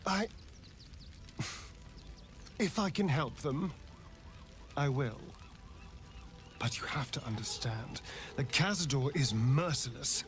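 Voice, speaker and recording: A man's voice: mid-range voice, animated, slow pace, pauses often. A man playing an elegant, wry vampire spawn in a video game, theatrical yet guarded and hesitant. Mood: uneasy, guarded. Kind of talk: video game dramatic acting.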